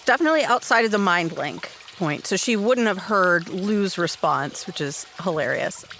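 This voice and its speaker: A woman's voice: lowish voice, expressive, moderate pace, a bit bright, a little hiss or hum. A woman improvising story narration as a player in a tabletop roleplay game.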